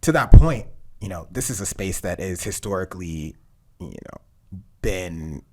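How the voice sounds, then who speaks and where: A man's voice: low voice, lively intonation, measured pace, mellow. A man hosting a podcast, chatting casually and nodding along with short agreements. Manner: frequent short agreeing backchannels. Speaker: podcast host.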